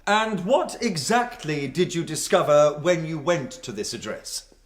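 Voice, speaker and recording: A stern barrister questioning in court. The voice is high and very animated, formal and declamatory. It rings in an echoey space, radio drama style. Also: male voice, a little slow. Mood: stern, probing. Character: formal, theatrical prosecuting barrister, stern and accusing. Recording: echoey courtroom-like room sound.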